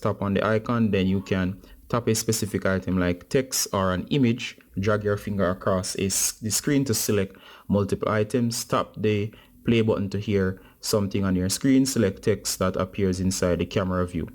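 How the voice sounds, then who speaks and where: Low-pitched man giving a casual tech tutorial. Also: darkish tone. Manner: informal, instructional, addressing viewers as guys. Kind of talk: casual step-by-step phone tutorial.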